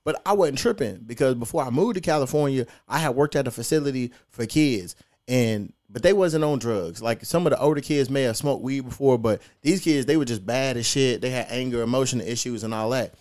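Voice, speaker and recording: A man's voice: mid-range voice, fairly quick, darkish tone. A young man, hosting a podcast and telling stories in a laid-back, profane, slangy way. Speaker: podcast host. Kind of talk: casual podcast storytelling.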